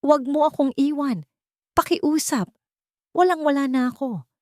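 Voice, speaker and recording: A woman's voice: high-pitched, normal speed, a little mellow, no room sound, clean studio sound, pitched above the performer's own voice, lightly acted. A woman voicing a broken, desperate husband who cries out and pleads in an audiobook drama. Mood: desperate, pleading. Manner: crying out, pleading.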